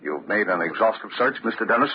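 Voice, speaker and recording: Man acting grave gentleman on dull radio. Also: lowish voice, animated, fast, lightly acted. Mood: grave, solemn. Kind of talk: old-time radio crime drama acting. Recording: dull old radio recording, faint noise.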